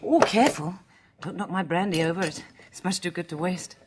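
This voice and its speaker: An actress playing a candid, spirited young English woman novelist in a radio drama, speaking in a hesitant, expressive way with an English accent. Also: lowish voice, brisk, background noise, close to an everyday voice. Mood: playful. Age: young adult.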